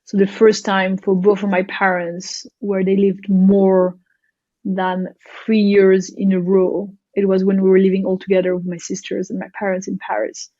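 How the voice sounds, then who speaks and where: A woman from Belgium answers interview questions thoughtfully, pausing and restarting often. Her mid-pitched voice comes through dry and slightly muffled. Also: monotone, mellow, dry recording. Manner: thoughtful, hesitant, many fillers and restarts. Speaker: guest, startup founder. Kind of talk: casual interview chat.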